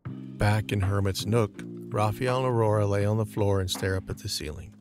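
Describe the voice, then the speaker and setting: A man's voice: lowish voice, steady tone, normal speed, over music. A man narrating an audio drama from a script, describing each scene in the present tense.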